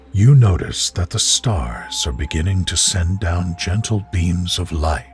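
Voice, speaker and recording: Deep-voiced man, slow soothing sleep narration. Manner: soothing, gentle, measured delivery. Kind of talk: guided sleep visualization, scripted narration. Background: music behind the voice.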